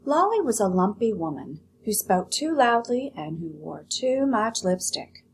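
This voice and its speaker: A woman teacher giving a read-aloud lesson, explaining and narrating a children's story in an expressive, instructive way. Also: medium pitch, unhurried, a little hiss or hum.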